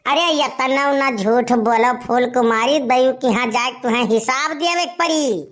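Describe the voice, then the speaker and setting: A woman's voice: sits high, unhurried. A woman playing a desperate, lovesick young village man in a cartoon comedy, pleading melodramatically with an Awadhi accent. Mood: accusing, wounded. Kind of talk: animated comedy dialogue.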